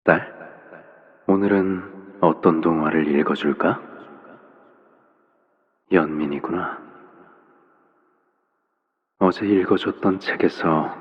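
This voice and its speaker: A fatherly video game villain with a deep voice that hangs in long reverb. He is calm and cryptic, softly spoken, and lets each measured phrase settle before the next. The menace stays quiet beneath a gentle, parental manner. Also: lo-fi sound. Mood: gentle, ominous. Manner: soft-spoken, unhurried, measured, pausing between phrases. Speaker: video game character voice actor. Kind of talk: game character voice lines, slow theatrical monologue.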